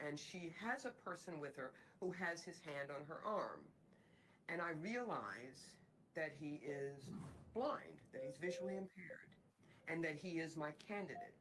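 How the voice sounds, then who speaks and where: Low-voiced woman telling a story. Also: a bit bright, slight background noise, poor audio. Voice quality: fairly strong voice. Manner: reflective, halting storytelling. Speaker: recruiter. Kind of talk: personal anecdote in a training video.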